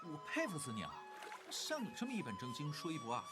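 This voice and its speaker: An actor playing a mischievous, playful teenage boy cultivator in an animated drama, chatty and teasing. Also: a little high, quick pace, loud music behind the voice. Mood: playful coaxing.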